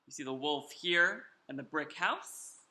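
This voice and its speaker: A bright, forceful voice reads a children's story aloud with theatrical emphasis. The pace is slow and deliberate, and the room adds a noticeable echo to the home recording. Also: medium pitch, lively intonation. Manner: theatrical, drawn-out emphasis, invites listeners to join in. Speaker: storyteller reading a picture book aloud to children. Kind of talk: animated children's story reading, performed in first person.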